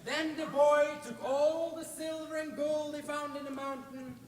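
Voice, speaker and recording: A young man from Norway narrating a folktale live on stage in a theatrical, expressive way. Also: extremely high pitch, a little slow. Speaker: storyteller. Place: stage in a large hall. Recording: echoey hall, distant mic, clear noise.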